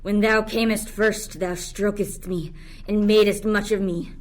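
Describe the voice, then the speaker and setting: A woman's voice: medium pitch, fairly even intonation, measured pace, darkish tone, natural voice. A young woman playing a resentful enslaved island monster in a Shakespearean radio play, declaiming in verse. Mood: aggrieved. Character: Caliban, bitter cursing enslaved island monster, witch's offspring.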